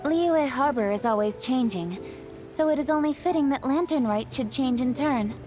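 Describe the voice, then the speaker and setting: An actress playing an earnest young official in a video game, speaking in a measured, explanatory way. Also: female voice, high voice, animated, music in the background. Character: young official woman, earnest, proud of tradition.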